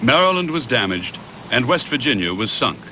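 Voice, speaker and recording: A man reads scripted documentary narration in a measured, factual way. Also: mid-range voice, very animated, bright, forceful tone, slight background noise, low-quality recording.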